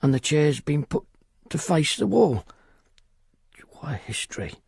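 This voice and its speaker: An actor playing an anxious, grieving father, husky and gravelly, telling what he sees in halting, repeated phrases in a radio drama. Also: on the high side, very animated, dry recording, clean studio sound, heavily acted. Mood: sorrowful. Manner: halting, repetitive, hushed storytelling.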